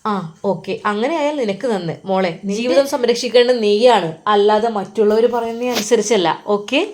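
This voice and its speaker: A woman's voice: fairly high pitch, a little fast, a little mellow, natural voice. A woman voicing a hospital doctor who lectures a young patient at length, firm and advising. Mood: lecturing.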